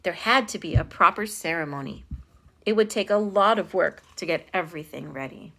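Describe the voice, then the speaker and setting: Bright-voiced woman reading a children's story. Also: on the low side, normal speed, gravelly, rough recording. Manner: animated, dramatic storytelling with stressed phrases. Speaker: storytime host reading a picture book. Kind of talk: expressive children's read-aloud.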